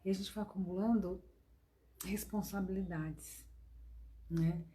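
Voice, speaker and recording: A hoarse woman with a Brazilian accent teaching a devotional spiritist lesson in a gentle, repetitive way, often addressing her listeners affectionately. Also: medium pitch, fairly even intonation, measured pace, a little hiss or hum. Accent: Brazilian Portuguese. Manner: gentle, repetitive, calls listeners "gente" and "meus amores". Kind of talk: devotional lesson to an online class.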